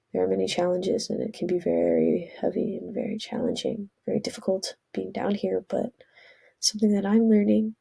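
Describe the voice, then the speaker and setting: Vlogger, woman, stuffy from a cold, gravelly and husky, earnest rambling delivery. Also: medium pitch, mellow. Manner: rambling, earnest, self-correcting. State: congested from a cold. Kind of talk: casual spiritual vlog monologue.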